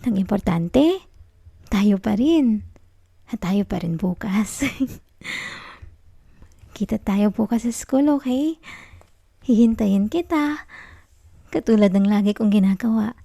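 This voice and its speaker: A woman plays a possessive young Filipino girlfriend who refuses to let go. Her voice is soft and mellow, with a creaky fry in it, recorded very dry and close. She pleads tenderly in an intimate ASMR roleplay. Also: mid-range voice, lively intonation, slow, long pauses, no echo, full character voice. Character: clingy, possessive young student girlfriend in denial.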